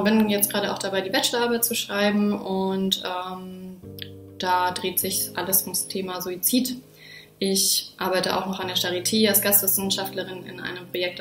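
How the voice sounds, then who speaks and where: A woman of thirty-one, a student talking casually to camera about herself. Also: lowish voice. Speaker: student and researcher. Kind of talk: casual self-introduction to camera. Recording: echoey room.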